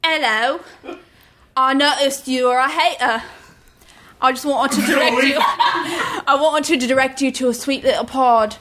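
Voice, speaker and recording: A woman's voice: high voice, measured pace, background noise. A woman co-hosting a podcast, playful and teasing in casual chat. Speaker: podcast co-host.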